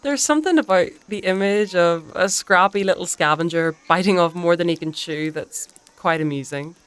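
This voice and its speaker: A woman playing a composed fantasy priestess and mentor in a dark audio drama, measured and articulate, with playfully dry wit. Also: lowish voice, clear, resonant tone, no room sound, natural voice. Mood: amused, teasing.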